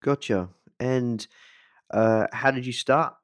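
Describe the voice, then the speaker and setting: A man's voice: mid-range voice, fairly even intonation, slow pace. A man hosting a podcast in a casual, informal way, repeating words for emphasis. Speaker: podcast host interviewing a guest. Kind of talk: casual podcast intro and interview.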